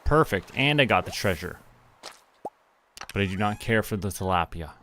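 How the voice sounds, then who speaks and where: Bright-voiced man, lowish pitch. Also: expressive, normal speed, slight background noise. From Canada. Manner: talking to himself and to viewers. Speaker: streamer. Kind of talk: casual live game commentary.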